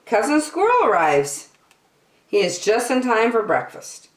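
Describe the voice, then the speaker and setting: A woman reading a children's picture book aloud, expressively and with emphatic phrasing for small listeners. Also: medium pitch. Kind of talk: expressive read-aloud storytelling for young children. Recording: roomy, echoey room sound.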